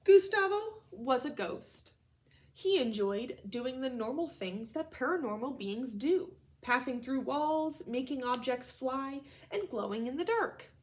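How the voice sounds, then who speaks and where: A woman's voice: high-pitched, lively intonation, unhurried. A woman teacher leading a read-aloud lesson for young children, speaking in simple, instructional phrases and questions. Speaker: elementary school teacher.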